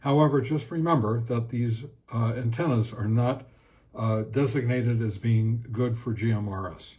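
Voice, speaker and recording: A man narrates a casual product test as host, in a halting, plain-spoken, step-by-step way. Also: medium pitch, warm tone, dull audio. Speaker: host reviewing radio gear.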